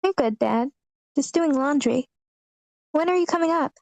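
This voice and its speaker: A woman reads a guarded grown daughter in a film script. Her voice is high yet soft-edged and mellow, and her replies are brief and understated. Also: moderate pace, vocal fry. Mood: guarded, casual. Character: guarded grown daughter and therapy patient, Josie. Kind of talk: screenplay table read.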